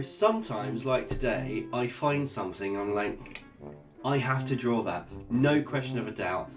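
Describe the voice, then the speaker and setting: A man with a fairly low voice chats through a casual drawing vlog. He is upbeat and jokey, talking easily while he sketches. Clear guitar music plays behind him the whole time. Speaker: art channel host. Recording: guitar music playing underneath.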